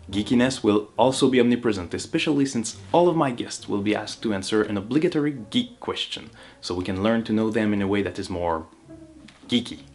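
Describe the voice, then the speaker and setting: A man's voice: lowish voice, close to an everyday voice. A man with a French Canadian accent, French his first language, playing a grand, formal steampunk baron from another reality in a scripted monologue.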